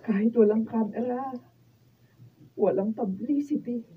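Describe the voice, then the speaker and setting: A woman's voice: a little high, unhurried, natural voice. An actress with a Filipino accent playing a tender, emotional wife and mother in a radio drama. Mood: earnest. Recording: tinny, noisy studio camera recording.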